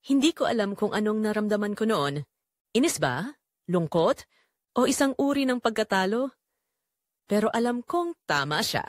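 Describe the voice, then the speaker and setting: A woman narrating as a brooding, guilt-torn man, in slow, deliberate, confessional dramatic storytelling. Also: mid-range voice, sing-song, no room sound, studio quality, natural voice. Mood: torn, melancholy. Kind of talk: scripted first-person dramatic narration.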